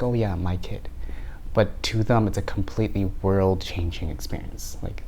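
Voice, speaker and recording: A man in his thirties from Canada speaks in a relaxed, reflective interview about his art. His voice sits low and warm, and he often restarts words as he gathers his thoughts. A faint hum of background noise sits under the recording. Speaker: artist being interviewed.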